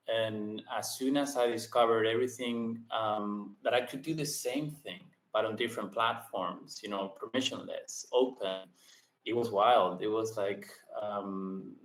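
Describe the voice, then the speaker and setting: A man in his thirties from Argentina talks in a casual interview. He speeds up in bursts and fills his pauses with ums, and the room around him sounds echoey. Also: fairly low pitch. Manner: quick bursts, many ums, restarts. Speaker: podcast guest. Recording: roomy echo.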